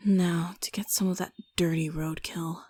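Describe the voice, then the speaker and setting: Woman playing a fed-up female scientist in a game dub, low, breathy, dry close sound. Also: little pitch movement, moderate pace, dark tone, no room sound. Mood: weary. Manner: sighing, exasperated muttering. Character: brisk, weary female scientist, short-tempered. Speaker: voice actor in a fan game dub.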